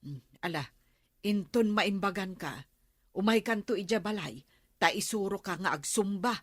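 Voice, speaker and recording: A woman's voice: mid-range voice, normal speed, no echo, clean studio sound, close to an everyday voice. An actress playing a concerned, chatty village woman who gives advice in a comedy radio drama. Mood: concerned, advising.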